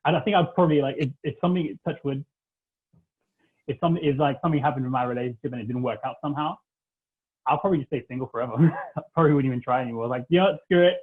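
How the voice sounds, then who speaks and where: A man's voice: mid-range voice, very fast, breathy edge. A man, chatting casually as a podcast guest and rambling with lots of "like". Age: 30s. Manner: rambling, heavy use of "like". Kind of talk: casual chat. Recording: tinny phone-like line.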